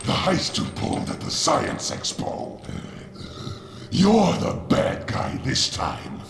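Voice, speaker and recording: An actor playing a hulking alien-bonded villain, growling and childishly excitable, for a video game cutscene. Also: male voice, on the high side, full character voice. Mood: menacing. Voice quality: gravelly, growling. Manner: drawn-out, childish, theatrical. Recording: echoey, clearly noisy game audio.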